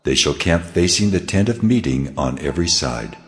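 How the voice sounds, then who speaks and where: A man's voice: low-pitched, animated, a bit bright, soft background music. A man playing God as a solemn, commanding divine voice in a dramatized scripture reading. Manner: solemn, measured, authoritative. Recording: long cavernous reverb.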